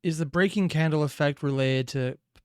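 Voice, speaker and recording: A man's voice: on the high side, brisk, darkish tone, smooth, no room sound, clean studio sound. A man giving off-the-cuff analytical commentary as a reaction video host, musing and thinking aloud.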